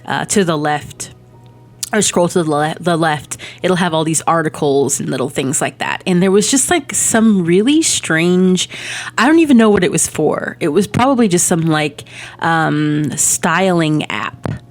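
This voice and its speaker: Hoarse woman chatting, a bit froggy. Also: low voice, lively intonation, a little slow. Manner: rambling, frequent ums and soft laughs. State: hoarse, recovering from a cold. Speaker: Sims speed-build creator. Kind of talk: casual voice-over chat over gameplay. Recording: soft background music.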